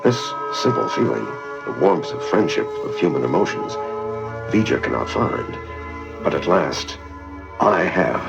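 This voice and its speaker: A man playing a grave, logical alien science officer with solemn, deliberate delivery on a read-along record. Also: deep voice, very animated, gravelly, full character voice. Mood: moved, reflective. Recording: dull vintage read-along record with music.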